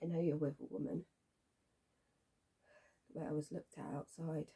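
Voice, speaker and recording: A woman acting a dramatic monologue as a bitter, wounded young woman, halting and repeating her phrases, with a breathy, husky voice. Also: on the high side, heavily acted. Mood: wounded, probing. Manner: halting, repeats phrases, swings between hushed and intense.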